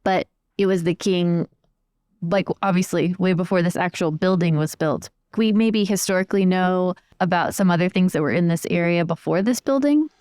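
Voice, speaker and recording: A woman's voice: lowish voice, normal speed, a little mellow, no echo, clean studio sound. A woman improvising a story in a conversational, chatty way as part of a tabletop role-playing game.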